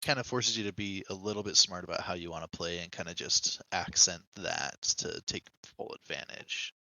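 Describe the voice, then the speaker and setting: A man's voice: sits low, moderate pace, creaky, pushed and bright, no room sound. A man in a casual podcast discussion, explaining video game details as a knowledgeable co-host.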